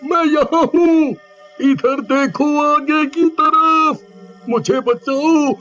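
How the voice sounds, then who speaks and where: A man voicing a panicked, whining village husband in a cartoon story, wailing and pleading with drawn-out cries. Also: very high-pitched, steady tone, pushed and bright, exaggerated voice. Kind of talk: exaggerated cartoon story acting. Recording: dull, lo-fi sound with music behind.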